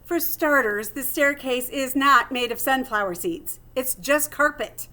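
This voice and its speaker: A woman's voice: sits high, measured pace, sharp tone. A woman voicing a small, plucky male hamster who reads his diary aloud theatrically and earnestly, as in a children's storybook. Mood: indignant, nervous. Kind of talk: storybook read-aloud in first person.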